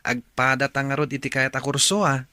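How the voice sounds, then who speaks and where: An actor playing a friendly, eager young student cousin in an Ilocano radio drama. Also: male voice, mid-range voice, flat delivery, brisk, sharp tone, no room sound, clean studio sound. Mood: curious, friendly.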